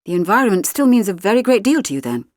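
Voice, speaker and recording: A woman's voice: medium pitch, very animated, fast, darkish tone, no room sound, clean studio sound, lightly acted. A woman voicing a shrewd, cheery woman journalist who asks politely cheeky questions in an audiobook story. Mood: probing.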